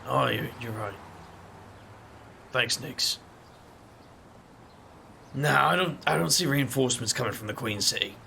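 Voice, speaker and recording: A man playing a casual, loyal ally of supernatural outcasts in an audio drama, conversational and a little hesitant, with a creaky voice. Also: mid-range voice, measured pace, long pauses, pushed and bright, a little hiss or hum, heavily acted. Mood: uneasy.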